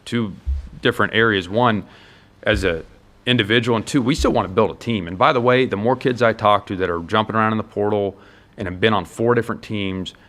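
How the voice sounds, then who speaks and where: A man's voice: on the low side, fairly quick, a bit bright. An American man, a college football head coach, speaking earnestly and emphatically in a conversational interview, laying out his points one by one.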